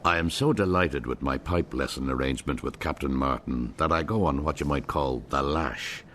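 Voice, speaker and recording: A man's voice: a bass voice, normal speed, fairly bright tone, a little hiss or hum. A man with an Irish accent narrating a story in the first person, with a wry, humorous delivery.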